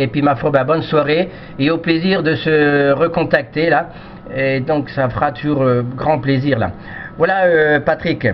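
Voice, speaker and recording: A man chatting casually over amateur radio in a polite, hesitant, rambling way, often repeating himself. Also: fairly high pitch. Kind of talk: casual radio contact chat. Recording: dull lo-fi radio audio with noise.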